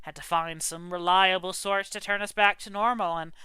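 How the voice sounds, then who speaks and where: Male talking-animal traveler for tabletop fantasy acted by a game master: rustic, aggrieved yarn-spinner, forceful bright tone, close dry sound. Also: medium pitch, steady tone, normal speed, clear, resonant tone, no room sound, lightly acted. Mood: aggrieved storytelling. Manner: folksy, rambling storytelling.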